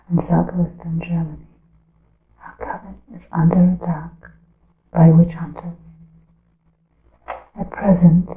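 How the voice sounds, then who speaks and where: A woman voicing a hunted witch in a breathy ASMR whisper, solemn and deliberate, with archaic formal phrasing as she secretly warns her coven. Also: fairly low pitch, echoey room, narrow, tinny audio. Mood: urgent, secretive. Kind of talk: ASMR whispered roleplay monologue.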